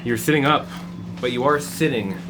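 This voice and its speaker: Male game master narrating a campaign, hesitant delivery, noisy track. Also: medium pitch. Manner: halting, with many fillers. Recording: background noise.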